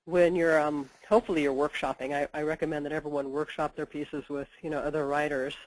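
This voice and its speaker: A woman's voice: low voice, flat delivery, fast, crowd noise, low-quality recording. A woman editor with a head cold, giving practical explanations in a webinar interview and often saying "you know". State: stuffed up with a cold. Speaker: book editor.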